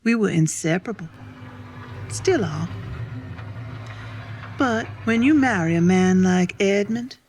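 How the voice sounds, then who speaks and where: A woman's voice: mid-range voice, animated, takes it slowly, pauses often, a little mellow. A woman playing a devoted 1950s Southern wife, narrating reflectively. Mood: wistful, confiding. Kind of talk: theatrical monologue to an audience.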